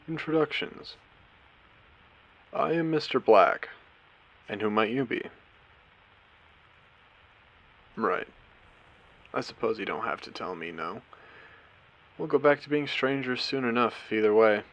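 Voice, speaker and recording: A man playing a courteous, eerie AI who hosts an abandoned post-apocalyptic casino in an audio drama, speaking theatrically with deliberate pauses. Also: a bit bright, full character voice, rough recording. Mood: courteous, playful. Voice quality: low, creaky voice. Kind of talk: scripted character audio drama monologue.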